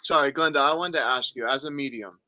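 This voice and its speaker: A man's voice: medium pitch, fast talker, bright, forceful tone, no room sound. A man co-hosting an online talk show, asking eager, rambling questions full of fillers. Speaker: co-host. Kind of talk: casual interview talk.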